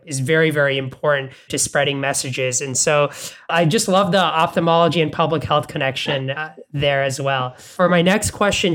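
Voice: medium pitch, fairly bright tone. A podcast host from Bangladesh, talking in a casual, enthusiastic way to a guest, often repeating words while thinking aloud. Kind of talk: casual interview conversation.

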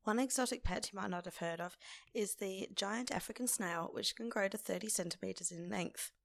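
Croaky, hoarse woman podcasting. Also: medium pitch, a little fast, dry recording. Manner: informal, conversational. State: lingering hoarseness after laryngitis. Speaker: podcast host. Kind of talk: casual solo podcast talk.

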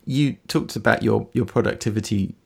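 A podcast host with a husky voice and a heavy creak asks questions in a conversational way. The phrasing is tentative, circling back to reword each question. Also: mid-range voice, moderate pace, darkish tone. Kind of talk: conversational interviewing.

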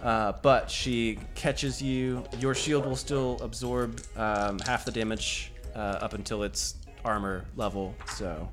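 Low-voiced man running a tabletop game. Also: fairly even intonation, slow, hardly any pauses, fairly bright tone, faint music behind. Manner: casual, filler-heavy, laughs often. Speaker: game master.

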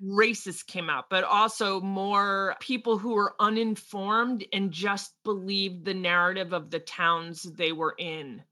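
A woman co-hosts a casual podcast, her voice bright and a little tense. She talks fast and animated, breaking into laughs and quick asides. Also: mid-range voice. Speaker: podcast co-host. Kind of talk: casual political chat.

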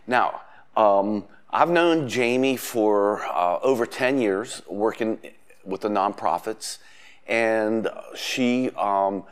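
A man's voice: on the low side, slow pace, clear, resonant tone. A man hosting a community TV show, enthusiastic and hesitant, often using fillers. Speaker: host. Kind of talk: community TV interview.

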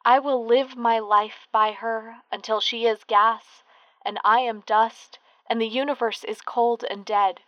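A woman voicing the moon as a gentle, lovestruck female in a spoken fairy tale, with slow, earnest storybook telling. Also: a little high, steady tone, no echo, lo-fi sound, natural voice.

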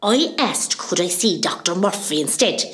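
A woman's voice: fairly low pitch, sing-song, normal speed, reverberant. A woman playing a cheeky, bawdy older mother in hospital in an amateur radio comedy, with a West Cork Irish accent and a theatrical, gossipy manner. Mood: gossipy, mischievous.

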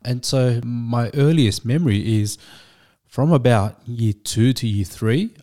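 Mellow-voiced man, reflective guest. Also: mid-range voice. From Australia. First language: Vietnamese. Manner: measured, thoughtful, with frequent restarts and fillers. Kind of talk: reflective storytelling.

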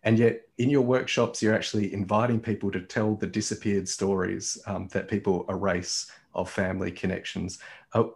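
A man hosting a reflective conversation, speaking haltingly with many pauses and restarts as he frames his questions. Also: on the low side, little pitch movement, vocal fry. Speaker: host.

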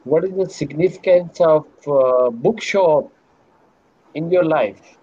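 A man's voice: a little high, slow, dark tone, slightly rough. A man interviewing a guest, asking hesitant questions with non-native phrasing. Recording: tinny phone-like line.

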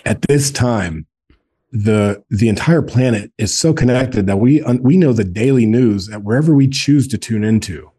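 A man's voice: mid-range voice, moderate pace, warm tone, husky. A man in his forties speaking earnestly in a casual podcast conversation, often repeating words for emphasis. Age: 40s. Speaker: guest, men's group co-founder.

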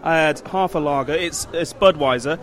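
A man's voice: on the high side, moderate pace. A man who has had a few drinks, co-hosting a podcast in rambling, matey pub chat. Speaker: podcast co-host.

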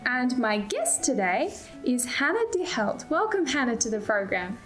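A woman's voice: on the high side, sing-song, moderate pace, a bit bright, soft background music. A woman hosting an interview program at a youth conference. She asks questions and keeps her guest going with frequent short encouragements. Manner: friendly, encouraging, frequent affirmations. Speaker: show host, interviewer.

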